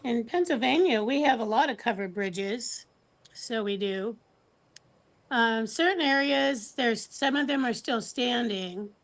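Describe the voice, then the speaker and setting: A woman with an American accent hosts a relaxed live chat. Her voice is on the high side and she talks very slowly. Her delivery is halting, with thoughts left hanging as she goes.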